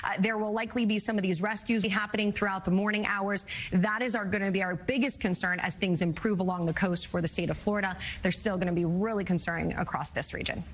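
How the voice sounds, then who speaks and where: A woman's voice: medium pitch, steady tone, fast talker. A woman meteorologist giving a serious, informative weather report.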